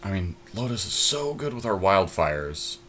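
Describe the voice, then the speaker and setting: Very low-voiced man mulling over picks. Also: sing-song, moderate pace, a bit bright, slight background noise. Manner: thinks aloud with many ums, weighing options. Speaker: podcast co-host. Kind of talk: casual card-draft discussion.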